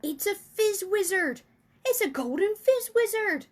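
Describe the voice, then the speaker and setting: A woman voicing a big, excitable male giant from a storybook who cries out in mangled words. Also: very high-pitched, measured pace, pitched above the performer's own voice. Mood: excited.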